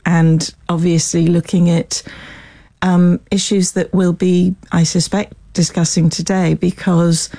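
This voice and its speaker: A woman's voice: on the low side, creaky, warm tone. A woman in her sixties speaking as an author and journalist in an unscripted radio interview, articulate and wry. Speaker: author and journalist, studio guest. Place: radio studio.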